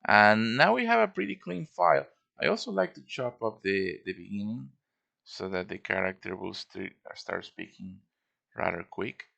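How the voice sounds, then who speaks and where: A man's voice: lowish voice, animated, normal speed, vocal fry, sharp tone, highs cut off. A man giving a casual show-and-tell tutorial as a game modder, informal and thinking aloud as he works.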